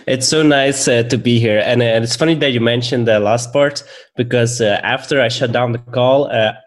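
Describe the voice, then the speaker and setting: A man's voice: medium pitch, steady tone, moderate pace. A young man from Belgium with a Belgian accent, a podcast guest telling his story in a friendly way, laughing often. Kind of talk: casual interview storytelling.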